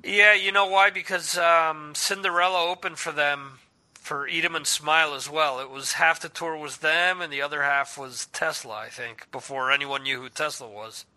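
A man's voice: on the high side, steady tone, normal speed, bright, forceful tone, low-quality recording. A man in his forties chatting casually on a podcast, loose and profane, laughing often. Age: 40s. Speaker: podcast host. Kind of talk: casual chat. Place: lives in Spain.

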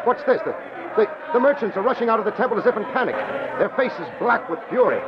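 An awestruck reporter in a vintage radio drama. His delivery is theatrical and halting, with very lively swings of pitch. The old recording is dull, with clear noise throughout. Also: crowd noise. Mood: reverent, urgent. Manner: dramatic, wonder-struck, halting. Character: awestruck male radio reporter transported to ancient Jerusalem.

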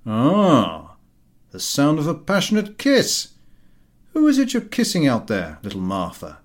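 A brash, jeering gossip of an usher, as a male reader puts him on, calling out loud and high with mocking laughter. The husky voice sounds pitched up and a little distant, bold and impudent. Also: huge pitch swings, unhurried, full character voice. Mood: teasing.

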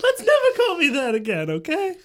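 A man's voice: very high voice, huge pitch swings, fairly quick, voice pushed up, heavily acted. A man voicing an affectionate, teasing small-town sheriff in a story read aloud. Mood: awkward.

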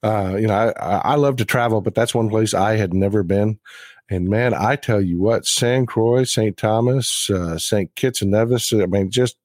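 A man with a cold and a slightly hoarse voice, telling a travel story enthusiastically as a podcast guest. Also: fairly low pitch, normal speed, a little mellow, no room sound, clean studio sound. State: has a cold, voice a bit hoarse. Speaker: regular guest. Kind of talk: casual podcast chat.

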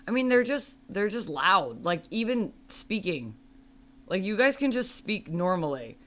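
Podcast co-host, woman, loud voice, easy laugh, remote call audio. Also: medium pitch, very animated, a little slow. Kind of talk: casual chat. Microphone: video call.

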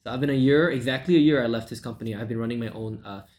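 A man in his thirties, originally from Malaysia, speaking as a podcast guest at a middle pitch. He tells his story in long, run-on stretches, full of fillers and quick asides. The tone is conversational. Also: a little mellow. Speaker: sales coach guest. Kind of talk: podcast interview.

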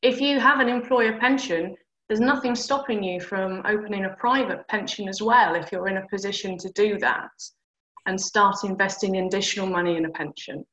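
A woman guest explaining pension steps in a clear, practical, step-by-step way. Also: medium pitch, moderate pace, fairly bright tone. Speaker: personal finance guest. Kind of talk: explanatory advice in conversation. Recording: dull echoey room sound.

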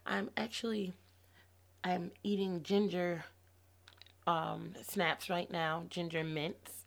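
Hoarse, gravelly woman hosting a podcast. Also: fairly low pitch, flat delivery, takes it slowly. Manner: upbeat, encouraging. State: hoarse, losing her voice. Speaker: podcast host. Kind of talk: faith-based podcast talk.

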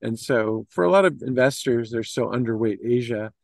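A man's voice: medium pitch, expressive, moderate pace, creaky, darkish tone, no room sound, studio quality. A middle-aged man answering questions in an informal business interview, with hesitant, meandering phrasing full of filler phrases. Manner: hesitant, meandering phrasing with many you-knows. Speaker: interview guest, investment firm executive.

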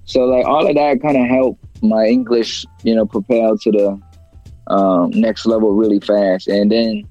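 A young man from China answering interview questions in a loose, rambling way, circling back and restarting his sentences. His voice sits low and sounds mellow and soft-edged. The recording is slightly dull and very dry. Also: steady tone, a little slow, dry recording, soft background music. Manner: rambling, many fillers and restarts. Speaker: guest rapper. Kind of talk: casual interview talk.

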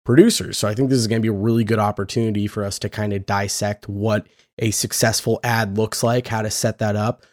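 A young man hosting a casual podcast, his voice hoarse and raspy from yelling, talking loosely and energetically and swearing now and then. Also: on the low side, steady tone, fairly quick, few pauses, dry recording, studio quality. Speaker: podcast host.

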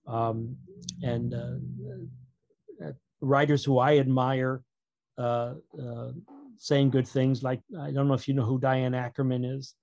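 A man talks as a guest author in a casual interview. His delivery is halting and tends to digress, with a wry sense of humor. His voice has a middling pitch and a very dry sound with little room in it. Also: fairly even intonation, a little mellow, dry recording, highs cut off.

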